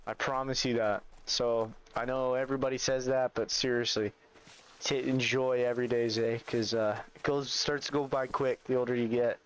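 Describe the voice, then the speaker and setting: A man's voice: mid-range voice, flat delivery, normal speed, smooth, low-quality recording. Laid-back young man streaming. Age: 20s. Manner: laid-back, chatty, reads and answers chat. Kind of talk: casual livestream chat while gaming.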